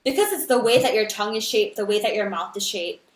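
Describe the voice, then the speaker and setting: A young woman from Singapore talks through her ideas in a relaxed podcast chat. She is reflective and bubbly, with frequent "like" and "right?" tags. Her voice sits at a middle pitch and carries a roomy echo. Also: fast talker. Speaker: guest singer and content creator. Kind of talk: casual podcast conversation.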